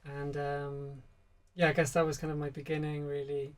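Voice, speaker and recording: A man from Spain, a musician guest, chatting in a relaxed, soft-spoken interview and using many fillers. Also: a little high, moderate pace.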